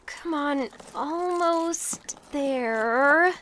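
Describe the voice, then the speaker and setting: Actress as sardonic young woman survivor, bright voice, kinda slow, audio drama. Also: high-pitched, a little hiss or hum, exaggerated voice. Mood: weary muttering. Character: plucky, sardonic 24-year-old woman exiled from a vault.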